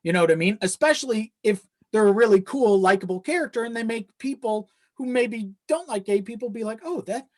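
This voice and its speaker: A man's voice: high-pitched, expressive, brisk, few pauses, darkish tone. A man speaking as a podcast guest in casual, reflective chat, thinking aloud as he goes. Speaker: podcast guest, audio drama creator.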